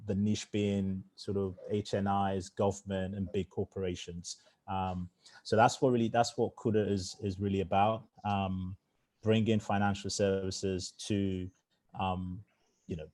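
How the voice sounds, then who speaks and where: A man who grew up in England, a startup founder speaking casually in a fireside chat, with many fillers. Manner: conversational, lots of fillers, low flat voice.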